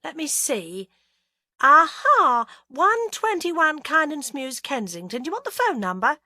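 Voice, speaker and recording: A woman's voice: high-pitched, big pitch swings, measured pace, sharp tone, no echo, studio quality, pitched above the performer's own voice, exaggerated voice. A woman voicing a chirpy, cheeky young man with a lilting way of talking.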